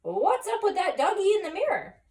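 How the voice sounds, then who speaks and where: A woman voicing an excitable, boastful little boy kitten who believes he is a chihuahua, in a storybook reading. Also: extremely high pitch, normal speed, pitched above the performer's own voice. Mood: excited. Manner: exclamatory, playful, dramatic.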